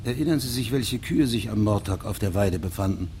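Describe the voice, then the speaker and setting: A man's voice: on the low side, lively intonation, a little fast, a little mellow. Over a noisy background, an investigating judge in a radio play. He puts his questions formally and precisely, with methodical persistence and careful, official phrasing. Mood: formal, probing. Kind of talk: German radio drama acting.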